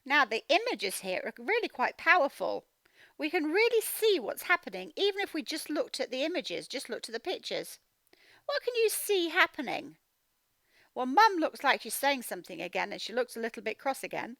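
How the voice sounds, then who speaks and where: Lady phonics teacher reading to small kids, really lively high voice, asks lots of questions. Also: moderate pace, vocal fry, no room sound. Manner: sounds out words, animated questioning of children. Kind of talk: interactive storybook read-aloud lesson.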